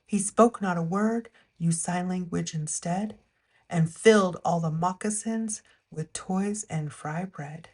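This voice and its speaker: A woman reading a rhyming picture book aloud as a storyteller, with an expressive, measured storytime delivery. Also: fairly low pitch, slightly rough.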